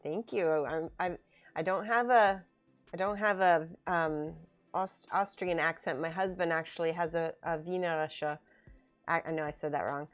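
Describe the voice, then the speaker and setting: A woman's voice: deep voice, fairly even intonation, normal speed. A woman hosting a casual livestream, chatting and laughing as she reads viewer comments aloud. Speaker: miniature painting streamer. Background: faint background music.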